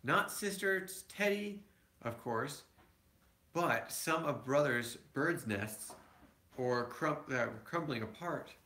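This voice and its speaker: A man's voice: on the high side, pushed and bright. A man reading a picture book aloud to his children, with conversational asides. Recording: echoey room.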